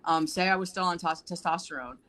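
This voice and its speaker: A man co-hosting a live talk show, speaking in a thoughtful, hedging way with soft laughs. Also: fairly high pitch, brisk, a little hiss or hum. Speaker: co-host. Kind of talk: casual live commentary chat.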